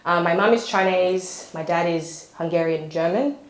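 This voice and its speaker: A woman's voice: lowish voice, moderate pace. An Australian woman from Sydney, chatting and laughing as a podcast guest in a home kitchen. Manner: chatty, laughing, self-deprecating. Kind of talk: casual chat. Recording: roomy kitchen echo.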